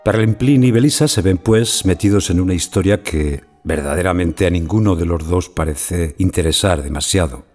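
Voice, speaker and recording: Deep-voiced man narrating over music. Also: normal speed, hardly any pauses, darkish tone. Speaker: narrator and commentator. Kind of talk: scripted literary introduction.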